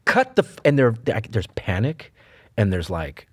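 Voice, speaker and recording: Guy on a podcast telling wild set stories, kinda low voice, laughs a lot, dry close sound. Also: measured pace, no room sound. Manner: animated storyteller, acts out quotes, laughs often. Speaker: actor guest. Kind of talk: casual podcast chat.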